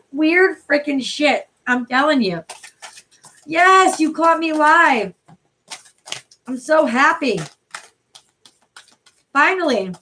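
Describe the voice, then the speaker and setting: Woman, hoarse, high voice, casual and profane, tarot livestream host. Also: fairly bright tone. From New York City area, USA. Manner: chatty, profane, greets viewers by name. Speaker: tarot card reader hosting a livestream. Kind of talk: casual live-stream chat.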